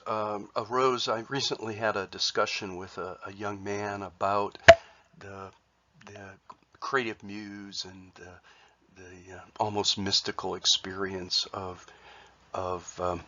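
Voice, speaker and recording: A man with a cold, sounding congested, presenting his artwork in a halting way with many fillers and restarts. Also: on the low side, steady tone, bright, forceful tone, highs cut off. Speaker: artist sharing his work. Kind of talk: casual spoken presentation.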